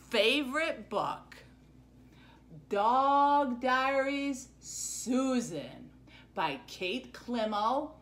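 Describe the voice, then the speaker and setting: A middle-aged American woman giving an informal book talk to camera as a librarian, halting and full of ums. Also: a little high, bright, forceful tone. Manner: halting, hesitant, with very frequent ums. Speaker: librarian and book-stream host. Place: small plain indoor room. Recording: noticeable background noise, room ambience.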